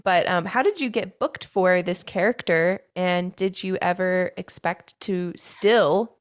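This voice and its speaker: Lower-voiced woman interviewer. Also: a little slow. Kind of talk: casual interview.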